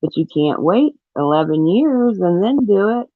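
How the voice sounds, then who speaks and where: A woman's voice: mid-range voice, very animated, normal speed, no echo. A woman giving earnest, disapproving opinions in casual commentary as a panelist on a discussion show. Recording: thin phone-like sound.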